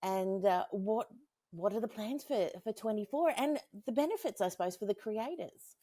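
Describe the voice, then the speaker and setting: A woman's voice: mid-range voice, normal speed, mellow, no echo. A woman hosting a podcast, talking in a casual interview style.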